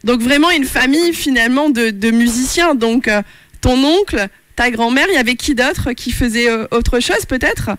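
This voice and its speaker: A woman hosting a radio interview, encouraging and quick to react to her guest. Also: high voice, lively intonation, fairly bright tone, dry recording.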